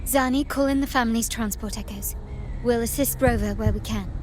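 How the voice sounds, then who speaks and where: A woman's voice: on the high side, a little fast, background noise, close to an everyday voice. A woman voicing a polite, formal, composed female officer in a dramatic video game. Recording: music behind.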